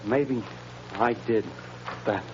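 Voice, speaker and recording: A man's voice: lowish voice, drawn-out words. An actor playing a nervous small-time male gangster in a 1930s radio drama, talking in tough-guy slang. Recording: hissy, muffled 1930s radio transcription.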